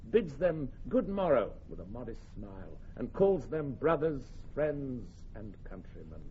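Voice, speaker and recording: A man playing a solemn Chorus narrator, speaking Shakespearean verse in a measured, declamatory way with dramatic swells and hushes. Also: medium pitch, lightly acted. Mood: stirring. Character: solemn Chorus narrator setting a night before battle. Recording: dull, faintly hissy recording.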